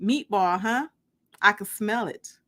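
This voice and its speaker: A woman's voice: medium pitch, slow, fairly bright tone. A woman playing an eager, smitten young woman on a date in an audiobook, bashful and curious.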